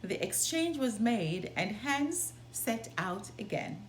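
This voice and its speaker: A woman reading a children's story aloud in an expressive, deliberate way. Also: fairly high pitch. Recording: roomy sound, background noise.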